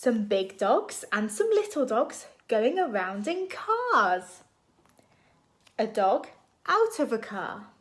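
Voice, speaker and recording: A woman reading a picture book aloud to young children in an expressive, deliberate storytime style. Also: on the high side. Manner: expressive, animated, deliberate phrasing for small children.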